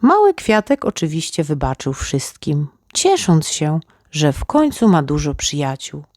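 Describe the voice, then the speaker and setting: A woman reading a children's fairy tale as an expressive narrator. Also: low-pitched, measured pace, no echo, studio quality. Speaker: children's story reader.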